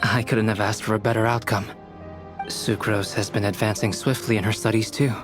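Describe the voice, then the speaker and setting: A man voicing a calm, thoughtful young alchemist in a video game, soft-spoken, measured, polite and reflective. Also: fairly low pitch, music in the background, natural voice.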